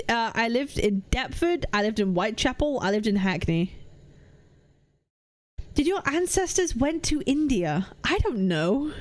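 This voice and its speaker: Animated Welsh woman streamer, a touch high. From Wales. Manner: animated and defensive, stammers on restarts. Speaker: VTuber streamer. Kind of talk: casual live-stream chat reading viewer comments.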